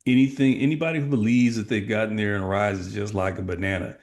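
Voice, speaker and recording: A man's voice: lowish voice, fairly even intonation, fast, a little mellow. Emphatic middle-aged man from Texas. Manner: emphatic, motivational, asks rhetorical questions. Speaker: sales coach guest. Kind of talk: podcast interview.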